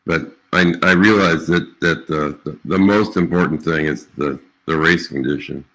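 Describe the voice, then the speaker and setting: Male meeting participant, very deep yet forceful timbre, informal and hesitant. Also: steady tone, moderate pace. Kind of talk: informal technical meeting comment. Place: standards meeting room.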